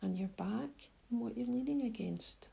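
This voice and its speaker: A woman leading a guided meditation in a soft, breathy voice, giving gentle, unhurried instructions with pauses. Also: a little high. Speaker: mindfulness guide.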